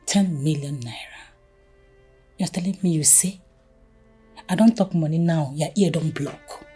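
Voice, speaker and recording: A woman's voice: deep voice, a little slow, dark tone, breathy edge, faint music behind, heavily acted. An actress with a Nigerian accent playing a desperate scheming woman who demands money, mixing pidgin and swinging from whispers to outbursts. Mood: pressured. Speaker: actor. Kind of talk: Nollywood drama acting.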